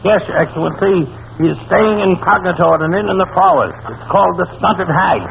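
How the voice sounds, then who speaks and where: A man's voice: high voice, animated, fairly quick, creaky. An actor playing an obsequious, bumbling male court aide in an old-time radio comedy, through heavy vintage static. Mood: servile, bumbling. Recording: very noisy, tinny old radio recording.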